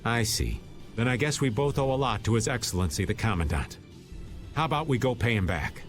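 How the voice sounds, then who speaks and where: A man's voice: medium pitch, animated, a little fast, lightly acted. An actor playing an easygoing, wry middle-aged man in a game, over background music. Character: laid-back joking middle-aged man.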